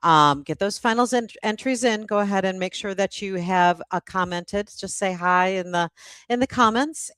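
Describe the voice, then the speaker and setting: A woman's voice: mid-range voice, gravelly, fairly bright tone, no room sound. A woman hosting a casual livestream event as its publisher, speaking informally to a guest and viewers. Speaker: host, publisher.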